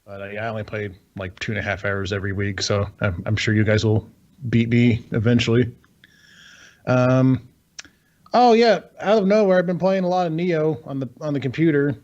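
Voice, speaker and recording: A man's voice: mid-range voice, moderate pace, a little mellow, highs cut off. A man chatting casually about video games as a podcast co-host, rambling, swearing freely and sounding incredulous. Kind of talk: casual video game chat.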